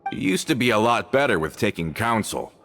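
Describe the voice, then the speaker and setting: A man's voice: on the low side, big pitch swings, brisk, fairly bright tone, faint music behind. A man playing a loyal guild member in a game, plain-spoken and a bit hesitant.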